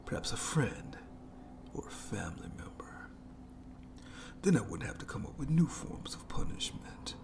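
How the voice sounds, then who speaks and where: A man's voice: mid-range voice, huge pitch swings, moderate pace, creaky. A man voicing a sadistic, playful monster in a horror ASMR roleplay, whispering with taunting mock courtesy. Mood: sadistic, musing. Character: sadistic, playful, menacing monster lurking in its lair.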